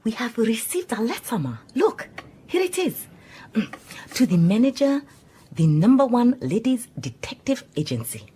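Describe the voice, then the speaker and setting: An actress playing an earnest, deferential secretary in a radio drama, formal and careful, with a Southern African accent. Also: female voice, a little high, big pitch swings, unhurried, mellow, husky, full character voice. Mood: eager, formal. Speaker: supporting actor in a radio drama.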